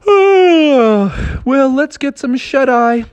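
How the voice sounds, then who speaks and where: A man voicing a bossy, sleepy young girl, a big sister who scolds in a high, exasperated voice. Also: expressive, extremely slow, smooth, highs cut off, exaggerated voice. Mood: annoyed.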